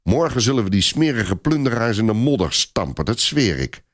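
A man voicing a gruff, vengeful medieval French war commander who speaks in an unyielding, snapping manner in an audiobook. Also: fairly low pitch, sing-song, moderate pace. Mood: grim, vengeful.

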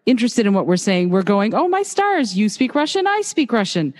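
A woman from Moldova hosts a podcast and talks in an easy, chatty way. Her voice sits at a middle pitch, and a long reverb trails behind it. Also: sing-song, brisk. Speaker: podcast host. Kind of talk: casual interview.

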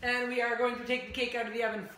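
Bright-voiced woman in an echoey kitchen. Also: a little high, very fast. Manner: relaxed, teasing, step-by-step instructions. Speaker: home cooking show host. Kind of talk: casual cooking demonstration. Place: home kitchen, indoors. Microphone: far camera.

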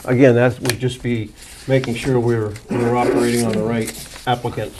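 A man's voice: medium pitch, flat delivery, moderate pace, heavy background noise. A man chairing a town planning board meeting, asking direct, methodical questions into a desk microphone. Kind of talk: public board meeting discussion. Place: town hall meeting room.

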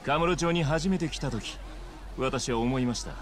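An actor playing a smug, suave young male gangster boss in a video game cutscene, with smooth, polite, theatrical speech. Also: mid-range voice, moderate pace, lightly acted. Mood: smug, persuasive. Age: young adult.

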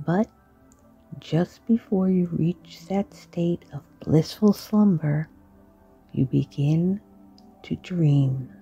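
A woman guiding a sleep meditation in a slow, soft, partly whispered voice. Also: low voice, creaky, slightly rough, muffled recording. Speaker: meditation guide.

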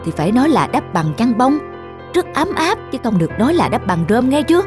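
A man's voice: extremely high pitch, very animated, fairly quick, music in the background. A man voicing a proud, instructing village father in a folk-tale cartoon. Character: village father, proud, embarrassed by poverty, instructing his son.

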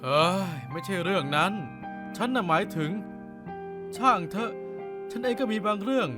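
An actor voicing a cocky, stubborn schoolboy football player in an animated cartoon, with a brash, boastful manner. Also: mid-range voice, moderate pace, music in the background, close to an everyday voice.

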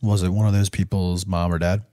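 A very low-pitched man, hoarse and losing his voice, hosting a relaxed chat podcast with his children. The sound is close and dry. He talks in an easygoing dad manner. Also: brisk, no room sound. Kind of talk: casual family chat.

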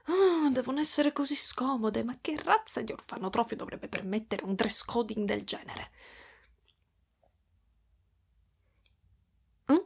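A doting monster mother, half spider played by a woman, coos in a fairly high, breathy voice. She is clingy and tender, drifting toward whispers as in an intimate ASMR roleplay. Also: expressive, moderate pace, exaggerated voice. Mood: tender, playful. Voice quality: soft, breathy with whispery stretches. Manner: cooing, affectionate, giggly, pet names.

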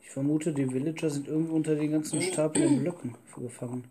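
A man's voice: a little high, fast, a little hiss or hum. A man giving casual gameplay commentary as a let's player. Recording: loud guitar music underneath. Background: guitar music.

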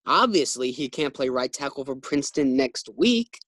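A man's voice: mid-range voice, expressive, normal speed, a bit bright, dry recording, clean studio sound. A man playing a flustered, boastful, naive husband who stammers when rattled, in an old-time radio sitcom style. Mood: earnest, boastful.

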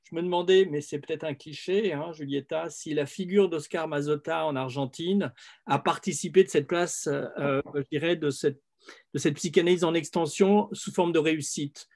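A man's voice: a little high, moderate pace, darkish tone. A man moderating an online panel on a video call, polite and hesitant, often repeating words.

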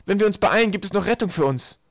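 Storybook teenage girl, voiced by a man: raised pitch, earnest, resourceful, insistent. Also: animated, fast, dry recording, studio quality. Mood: urgent, earnest.

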